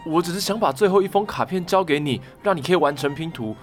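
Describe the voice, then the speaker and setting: An infatuated, persistent stranger acted out in an audio drama, awkward and intrusive. The voice is mid-range, with soft music underneath. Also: mid-range voice, expressive, fast, lightly acted. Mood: eager, intrusive.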